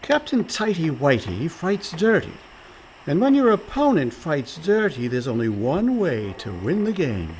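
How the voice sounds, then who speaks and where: A man's voice: on the high side, sing-song, unhurried, a little mellow. An actor playing a scheming, level-headed young seafaring con man in a radio play, sly and coaxing. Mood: cautioning, scheming. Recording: faint background noise.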